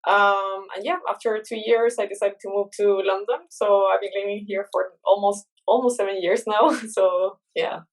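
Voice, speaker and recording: Chilean woman, halting and thoughtful. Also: medium pitch, fairly even intonation, rough recording. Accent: Chilean Spanish. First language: Spanish. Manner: thoughtful, many fillers and restarts, laughs often. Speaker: guest artist. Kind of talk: casual interview chat.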